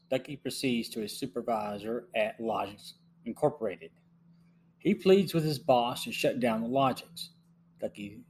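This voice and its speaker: A middle-aged man hosting a review podcast, reading scripted narration in a measured way. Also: medium pitch. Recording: faint hum and background noise.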